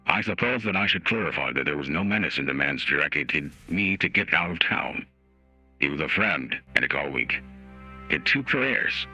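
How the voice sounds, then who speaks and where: A man voicing a grave, literary judge in a formal, measured, theatrical dramatic reading of a court opinion. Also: extremely low pitch, pushed and bright, voice pushed down, full character voice, poor audio. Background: music.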